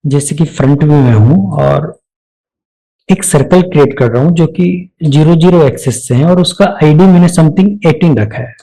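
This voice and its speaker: Gravelly Indian man teaching software. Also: fairly high pitch, moderate pace, low-quality recording. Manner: explanatory, demonstrating each step aloud. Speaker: software instructor. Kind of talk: step-by-step screen-recorded software tutorial.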